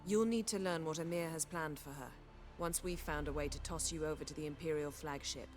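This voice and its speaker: An actress playing a composed, politically shrewd sorceress in video game dialogue. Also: female voice, low-pitched, fairly quick, lightly acted. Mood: measured. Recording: music under the dialogue.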